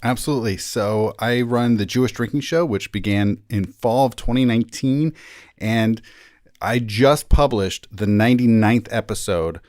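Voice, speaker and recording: A man's voice: mid-range voice, moderate pace, a little mellow, dry recording, studio quality. A man, a rabbi and podcaster, explaining his work as an interview guest.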